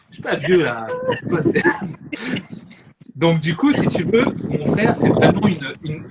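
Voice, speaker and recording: Un homme qui anime une discussion en direct, animé, qui rit souvent et répète ses mots. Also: male voice, fairly high pitch. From French Caribbean. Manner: animated, laughs often, repeats words. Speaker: host. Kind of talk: casual live chat. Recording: noisy live stream audio.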